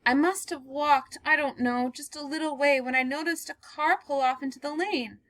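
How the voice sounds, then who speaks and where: A woman reading a play puts on a terrified young woman who has fled through a storm, her voice pitched very high and pushed loud. She pleads in breathless, sobbing bursts. The read-aloud play gives her no break from fear. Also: fairly even intonation, moderate pace, dry recording. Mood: frightened.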